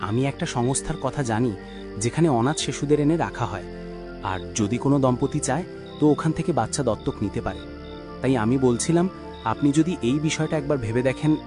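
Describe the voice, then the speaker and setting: A man's voice: medium pitch, close to an everyday voice. Man acting a sympathetic acquaintance, music behind. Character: sympathetic, tactful male family acquaintance. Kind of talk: cartoon voice acting.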